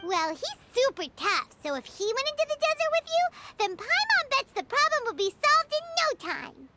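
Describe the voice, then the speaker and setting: A woman voicing a tiny, bubbly, childlike fairy companion in a video game, chatty and excitable, talking about herself in the third person. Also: extremely high pitch, moderate pace, noisy background. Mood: chirpy.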